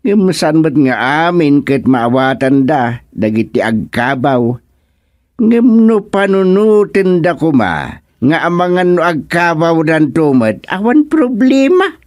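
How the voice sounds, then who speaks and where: An actor playing an amused, easygoing, gently mocking man of some authority in a radio comedy drama. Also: fairly high pitch, sing-song, takes it slowly, no echo, studio quality. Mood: gossipy, amused.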